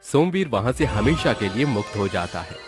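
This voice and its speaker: A man narrating an animated moral story in a steady, clear storytelling manner. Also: on the low side, moderate pace, music in the background.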